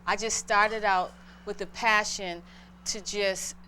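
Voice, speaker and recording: Middle-aged boston woman, interview guest, earnest heartfelt storytelling, mid pitch, faint noise behind. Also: fairly even intonation, slow, bright, forceful tone, poor audio. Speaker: guest entrepreneur.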